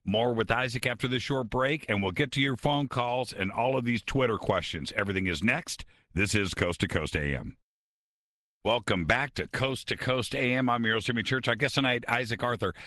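Bright-voiced radio host, man. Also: medium pitch, a little fast, dry recording, clean studio sound. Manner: chatty, upbeat, steering to calls. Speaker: radio talk show host. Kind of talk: late-night radio hosting.

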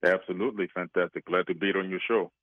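Man faking a Greek accent, low voice, terse cagey replies, tinny phone line. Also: steady tone, quick pace, close to an everyday voice. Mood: coy. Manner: terse, evasive short answers. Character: male caller with a fake Greek accent. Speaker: mystery guest on a call-in.

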